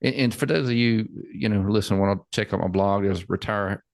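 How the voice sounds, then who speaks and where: Gravelly deep-voiced man in his forties. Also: a little fast, dry recording. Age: 40s. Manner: chatty, repeats words, laughs easily. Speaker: financial planner. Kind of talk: casual podcast chat.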